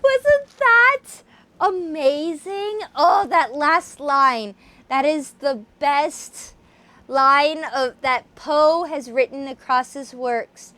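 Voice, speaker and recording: A woman with a theatrical, expressive way of speaking, presenting horror stories as an amateur reader and host. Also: high voice, very slow. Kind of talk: dramatic reading, then enthusiastic casual chat.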